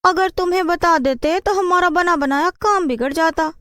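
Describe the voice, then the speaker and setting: A woman's voice: very high-pitched, normal speed, fairly bright tone, no room sound, studio quality, pitched above the performer's own voice. A woman voicing a bossy, scheming wife with a haughty, mean manner in a cartoon story. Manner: commanding, mocking.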